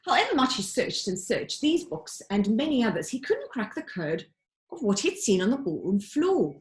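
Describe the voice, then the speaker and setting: A woman narrating a children's storybook like a teacher reading aloud, with dramatic, deliberate emphasis. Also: on the high side, huge pitch swings. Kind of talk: expressive children's audiobook narration.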